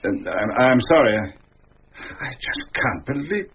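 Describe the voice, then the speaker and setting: An actor playing a defensive, haughty country gentleman in a radio drama, speaking with indignant, clipped upper-class bluster. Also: medium pitch, big pitch swings, measured pace, creaky, slightly rough, dull audio, full character voice. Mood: shaken.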